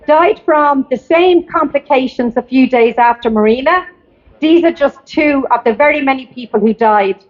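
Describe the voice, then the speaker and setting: An Irish woman with a high voice delivers a prepared address as a campaigner. She reads deliberately and with emphasis, at times tripping over the script. The recording is somewhat dull and low in fidelity. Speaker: campaigner giving a public address. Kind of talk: prepared speech read aloud at a protest. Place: outdoors at a public rally.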